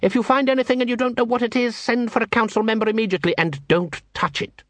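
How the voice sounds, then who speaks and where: A man's voice: extremely high pitch, fairly even intonation, quick pace, a bit bright, no echo, voice pushed up. A man voicing a stern, snappish assassin guild leader in a curt, commanding manner for an audiobook. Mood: snapping, commanding.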